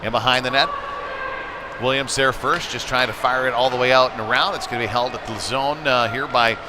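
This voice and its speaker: Man, medium pitch, live hockey play-by-play broadcaster, conversational, excitable on big plays, clearly noisy arena background. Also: fairly quick. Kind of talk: live youth hockey commentary. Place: ice rink, live broadcast. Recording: noisy arena ambience behind the voice.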